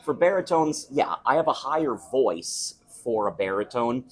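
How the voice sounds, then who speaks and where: A man's voice: mid-range voice, animated, normal speed, husky. A young man who sings opera, chatting thoughtfully in an interview at a restaurant. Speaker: opera singer, guest. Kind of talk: casual interview chat.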